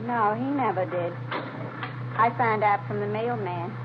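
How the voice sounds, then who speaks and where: A woman's voice: medium pitch, unhurried, close to an everyday voice. An actress playing a plain-spoken, chatty older woman neighbor, wistful and grumbling, in a vintage radio crime drama. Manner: chatty, matter-of-fact, complaining. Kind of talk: 1940s-50s radio crime drama acting. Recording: noisy, dull vintage radio recording.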